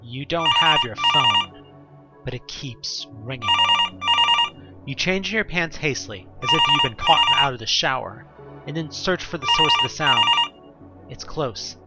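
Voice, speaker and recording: Male narrator, dramatic scripted audio drama, very expressive pitch, emphatic, prominent music underneath. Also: a little slow.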